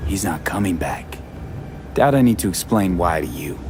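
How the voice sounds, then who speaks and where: A tough, skeptical mercenary man with a lowish voice. He speaks in dry, clipped game dialogue, with faint ambient noise in the background. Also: animated, moderate pace. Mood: pointed.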